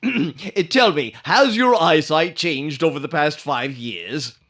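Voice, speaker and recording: A man playing a dry, wisecracking license examiner in old-time radio comedy style, with drawn-out hems. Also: a little high, animated, a bit bright, exaggerated voice. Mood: officious.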